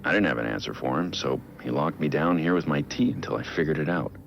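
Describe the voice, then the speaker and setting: An actor playing a brooding billionaire vigilante, recording a measured, reflective personal audio log in a game. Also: male voice, lo-fi sound, a little hiss or hum. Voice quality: low gravelly voice. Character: brooding billionaire vigilante, man in his prime.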